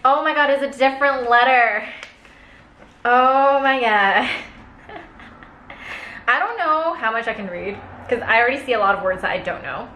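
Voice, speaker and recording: A woman's voice: on the high side, lively intonation, roomy sound, highs cut off, slight background noise. Chatty young woman unboxing gifts. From Canada. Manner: chatty, laughs at herself, reads haltingly aloud. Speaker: food vlogger. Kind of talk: casual unboxing vlog. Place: living room, seated on a sofa.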